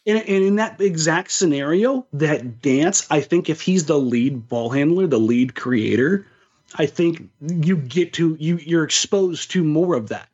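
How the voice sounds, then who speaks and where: A man's voice: on the high side, lively intonation, measured pace, a little mellow, dull audio. An American man talking sports casually as a podcast co-host, emphatic and opinionated, repeating words for stress.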